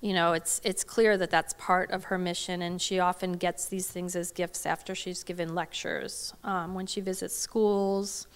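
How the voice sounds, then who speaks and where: A middle-aged woman answers questions from a podium in a large hall. Her voice is smooth and clear, with a flat, level pitch. She talks hesitantly, full of fillers and restarts. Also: moderate pace, hardly any pauses. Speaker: lecturer answering audience questions. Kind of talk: academic Q&A talk. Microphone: podium microphone.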